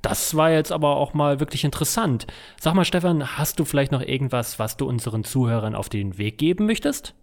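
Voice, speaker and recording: A man's voice: medium pitch, expressive, brisk, hardly any pauses. A man hosting a podcast interview, asking questions in an informal, conversational way. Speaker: interview host.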